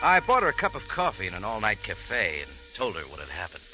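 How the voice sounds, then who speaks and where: An actor playing a cool, world-weary adventurer and cafe owner in an old radio drama, with a clipped, wry hard-boiled delivery. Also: male voice, lowish voice, wildly swooping pitch, fairly quick, low-quality recording. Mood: wry, narrating. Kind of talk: 1940s-50s radio drama acting. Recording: old radio recording, music behind.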